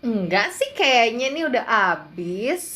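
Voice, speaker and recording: A woman's voice: on the high side, very animated, measured pace, a bit bright. A young woman chats casually and expressively as a student radio co-host, using plenty of slang. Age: young adult. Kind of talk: casual chat.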